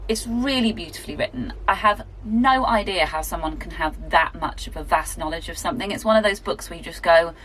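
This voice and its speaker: A woman's voice: on the low side, fairly quick, hardly any pauses, a bit bright, low-quality recording. A woman, chatting casually and self-deprecatingly in a book vlog recorded in a garden shed. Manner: rambling, self-deprecating, with fillers and laughs. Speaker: book vlogger. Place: garden shed, rural area.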